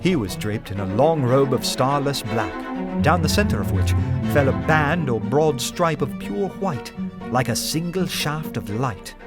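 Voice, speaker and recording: A man playing an earnest, poetic detective who narrates his strange adventure in a radio play with measured, literary wonder. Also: medium pitch, expressive. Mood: admiring. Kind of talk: dramatic first-person radio play narration. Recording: loud music under the voice. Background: music.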